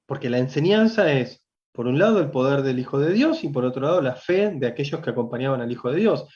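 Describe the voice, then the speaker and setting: A man's voice: medium pitch, lively intonation, quick pace, a little mellow. Rioplatense man preaching, muffled audio. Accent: Rioplatense Spanish. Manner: conversational, addresses listeners directly, with jokes. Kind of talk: livestreamed Bible teaching.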